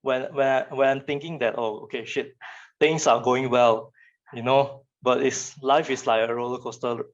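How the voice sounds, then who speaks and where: A man's voice: mid-range voice, steady tone, moderate pace. Young man, Malaysian English accent, Chinese first language, casual motivational talk, repeats words, muffled lo-fi sound. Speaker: speaker giving a talk.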